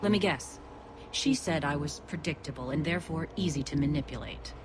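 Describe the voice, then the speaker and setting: A woman's voice: low-pitched, fairly quick, noisy background, natural voice. A woman as a firm, wary militia leader. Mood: dry, firm. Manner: firm, dry, challenging. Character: principled, wary female militia leader, stubbornly protective. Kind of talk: video game acting.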